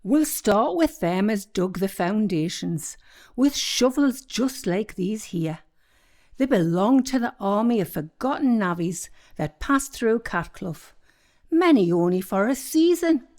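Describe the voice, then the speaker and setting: A woman's voice: medium pitch, lively intonation, darkish tone, natural voice. A woman playing a bossy Northumbrian ghost landlady. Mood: proud. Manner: scolding, bossy, quick to defend herself. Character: sharp-tongued ghost of an old landlady. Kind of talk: community radio play acting.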